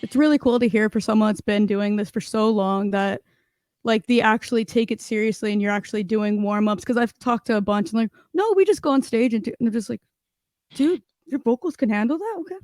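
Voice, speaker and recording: A woman's voice: fairly high pitch, brisk, darkish tone, no echo, studio quality. A young woman hosting an interview, chatting casually with frequent likes and mm-hmms. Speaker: interviewer, podcast host.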